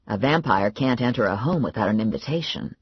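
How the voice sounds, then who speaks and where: Woman reading a laid-back teasing guy, low husky voice, lively, dry muffled recording. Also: fairly quick, dry recording, exaggerated voice. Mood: wry. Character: grown man, calm and teasing.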